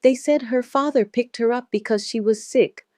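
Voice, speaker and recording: A woman's voice: fairly high pitch, normal speed, mellow, no echo, studio quality, pitched above the performer's own voice, lightly acted. A woman voicing a distraught Nigerian mother who sells corn on the street, wailing and pleading, in a dramatic storybook tale.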